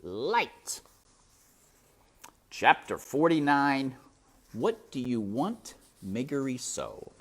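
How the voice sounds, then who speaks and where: A woman reading a story aloud with dramatic, suspenseful narration that is sometimes hushed. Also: very deep voice, animated, slow. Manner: suspenseful, hushed at times, theatrical. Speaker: storybook reader.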